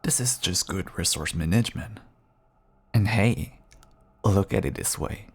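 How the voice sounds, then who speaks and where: A man playing a feral, manipulative vampire husband in an audio roleplay, mocking and hungry, drawling his endearments in a husky, gravelly voice. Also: low-pitched, exaggerated voice. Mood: smug, taunting. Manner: slow, taunting, drawling endearments. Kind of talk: intimate audio roleplay acting.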